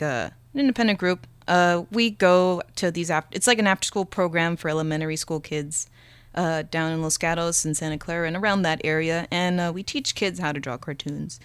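A woman's voice: fairly low pitch, fairly bright tone, clean tone, no room sound. A young woman speaking as an interview guest, chatting casually and a little hesitantly. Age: young adult. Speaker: guest, animator and illustrator.

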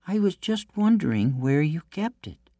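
A man's voice: a little high, very animated, normal speed, mellow, no room sound, clean studio sound, voice pushed up. A man voicing a young fairy-tale princess who is anxious, earnest and clever. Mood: coaxing.

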